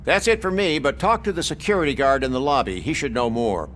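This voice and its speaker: Man playing a droll, lively morgue worker. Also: mid-range voice, a little fast, slight background noise, natural voice. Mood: droll, intrigued. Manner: droll, dryly morbid chattiness. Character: wry, talkative male hospital morgue worker. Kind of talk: video game voice acting.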